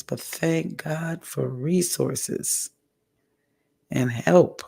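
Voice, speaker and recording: Hoarse raspy woman vlogging, super gravelly and slow, keeps clearing her throat. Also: sits low, a little mellow. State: hoarse, recovering from a stroke. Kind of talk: candid personal update. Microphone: audio only, home recording.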